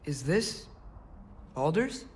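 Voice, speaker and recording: A man's voice: on the high side, takes it slowly, a little hiss or hum. A young man playing an anxious, caring teenage boy in a Norse fantasy video game, earnest and often talking to himself. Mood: subdued, musing. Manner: expressive, talks to himself, earnest. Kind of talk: video game cutscene acting. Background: game music.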